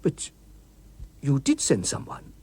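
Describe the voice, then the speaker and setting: A man's voice: slow, darkish tone, no room sound, natural voice. A man voicing a nervous, polite and fearful writer, anxious to please, in a dramatic audiobook. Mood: alarmed. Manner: anxious, hesitant, eager to please.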